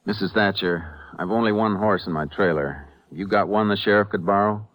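Male actor as stern lawman, deep voice, American accent, clipped businesslike manner, vintage radio western. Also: a little fast, fairly bright tone, natural voice, rough recording. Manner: terse, businesslike, skeptical. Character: stern, steady male Texas Ranger questioning suspects. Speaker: actor narrating and playing the lead. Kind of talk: 1950s radio western acting and narration. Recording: vintage 1950s radio drama recording.

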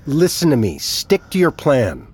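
An actor playing a level-headed, cautious male adviser with a measured, husky voice in an audio drama. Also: fairly high pitch, wildly swooping pitch, full character voice. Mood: urging calm. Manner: measured, placating. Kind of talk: naturalistic audio drama acting.

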